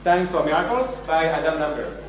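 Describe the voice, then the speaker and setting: A man's voice: fairly high pitch, lively intonation, moderate pace. A man with a French accent giving a conference keynote, joking and self-deprecating as he talks to the audience. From France. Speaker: keynote speaker. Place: large conference venue. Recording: very echoey hall, distant mic, faint hum.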